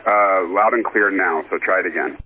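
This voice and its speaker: A man's voice: low voice, moderate pace, pushed and bright. A man working as an air traffic controller, giving procedural instructions and repeating callsigns over a radio transmission. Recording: muffled, lightly noisy air traffic radio.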